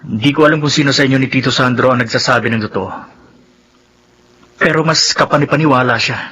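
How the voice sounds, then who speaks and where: An actor playing an upset, earnest young brother in a Filipino radio drama, with a Filipino accent. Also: male voice, medium pitch, fast talker, sharp tone, slightly rough, lo-fi sound. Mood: accusing.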